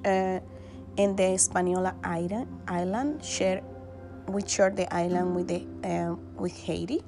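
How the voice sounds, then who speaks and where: A woman's voice: on the low side, fairly even intonation, measured pace. A woman from the Dominican Republic, an English student, introducing herself hesitantly and searching for words. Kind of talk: self-introduction with fillers. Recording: guitar music behind.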